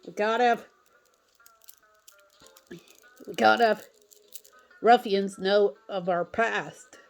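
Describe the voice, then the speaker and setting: A woman voicing a bossy town crier in a cartoon fan drama, calling out loudly and declaiming. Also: medium pitch, fairly even intonation, slow, vocal fry, faint music behind. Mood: commanding.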